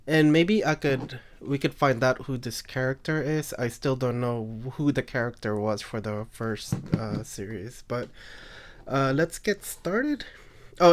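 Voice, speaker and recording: Congested guy, slow and halting delivery, lots of filler words, casual card review host. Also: mid-range voice. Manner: hesitant, lots of ums and uhs. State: congested, phlegmy, throat-clearing. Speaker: card collecting channel host.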